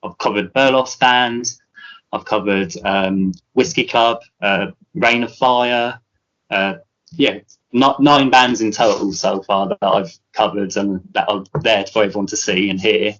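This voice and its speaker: A man's voice: lowish voice, steady tone, measured pace, a bit bright, dull audio. A British man, a musician guest, chatting casually in an interview, rambling with many fillers and restarts.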